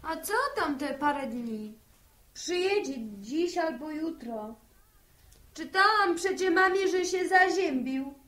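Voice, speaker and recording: A young country wife with a high voice and unhurried pace, gently protesting. It sounds like an echoey 1960s radio play. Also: female voice, expressive, heavily acted. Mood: placating. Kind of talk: 1960s Polish radio drama acting.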